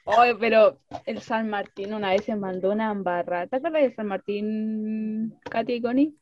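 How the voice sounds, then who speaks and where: A young Chilean woman with a Chilean accent, co-hosting a podcast and telling stories in a lively, laughing way. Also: mid-range voice, normal speed. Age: young adult. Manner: animated storytelling, laughs often. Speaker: podcast co-host. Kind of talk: casual chat. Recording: slightly dull lo-fi sound.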